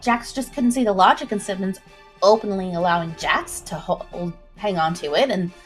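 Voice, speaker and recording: A woman storyteller reading a scripted adventure tale in an expressive, suspenseful manner, with music behind her. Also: mid-range voice, moderate pace, rough recording. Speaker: storyteller, livestream host. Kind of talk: dramatic scripted story narration.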